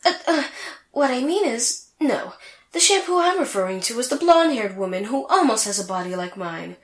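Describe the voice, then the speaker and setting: Haughty, high-voiced anime sorceress, echoey. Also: female voice, very animated, moderate pace. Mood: smug, taunting. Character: vain, haughty young female sorceress rival from an anime. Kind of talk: comedic anime fan audio drama acting.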